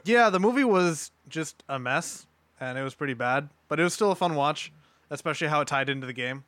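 Lively man, fairly high voice, casual. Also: fairly quick, no echo. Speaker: podcast panelist. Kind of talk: casual group chat.

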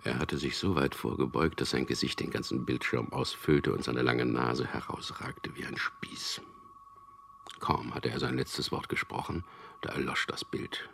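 An actor in a German radio drama playing a smooth-talking propagandist who tells and acts out his own story in an earnest way. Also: extremely low pitch, wildly swooping pitch, measured pace, a bit bright, in a whisper, full character voice. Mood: reflective.

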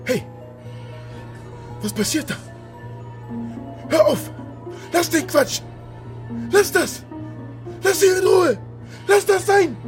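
Anxious young man, animated delivery. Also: extremely high pitch, heavily acted. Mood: panicked. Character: anxious young Ghanaian man hiding illegally in Berlin. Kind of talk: German radio drama acting.

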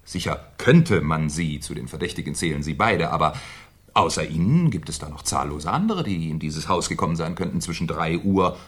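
Deep sly detective in an old radio play, super polite but needling, voice swings around a lot. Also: male voice, animated, a bit bright, breathy edge, heavily acted. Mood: mock-polite, insistent. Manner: ironic, mock-courteous, deliberate. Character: sardonic, shrewd Paris police inspector, needling with mock politeness. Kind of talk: 1970s German radio crime drama acting.

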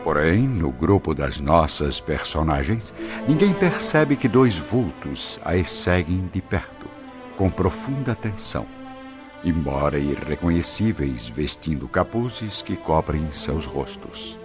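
A man's voice: rumbling low, very animated, slow pace. A man giving scripted, dramatic narration for a radio drama. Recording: dull lo-fi audio. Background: prominent background music.

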